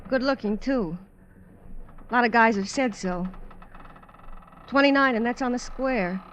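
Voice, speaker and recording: An actress playing a brassy, confident twenty-nine-year-old dancer in an old American radio drama, with a slangy, frank way of talking and an American accent. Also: female voice, fairly high pitch, a little slow, a bit bright, dull audio, slight background noise. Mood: frank, confiding. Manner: slangy, plain-spoken, frank. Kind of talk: 1940s-50s radio drama acting.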